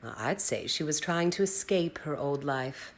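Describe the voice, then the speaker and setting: A woman's voice: deep voice, animated, moderate pace. An actress playing a blunt, cynical woman jaded by Hollywood in an audio drama. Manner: blunt, dismissive, world-weary.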